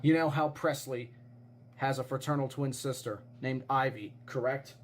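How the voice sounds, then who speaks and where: A man playing an earnest eyewitness who tells a grave disaster theory in an insistent, suspenseful way with dramatic pauses. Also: medium pitch, steady tone, slight background noise, natural voice. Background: music behind the voice.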